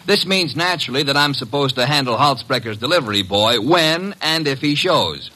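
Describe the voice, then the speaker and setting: Wisecracking radio detective sidekick, really sharp forceful voice, husky, sardonic, lively. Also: male voice, mid-range voice, normal speed, rough recording. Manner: sardonic, slangy, brash. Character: wisecracking, streetwise male detective's assistant and narrator. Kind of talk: 1950s radio detective drama acting.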